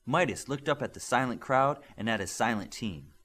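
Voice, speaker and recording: A man reading an audiobook aloud, with steady, expressive storytelling. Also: mid-range voice, moderate pace. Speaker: audiobook reader. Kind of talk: audiobook narration.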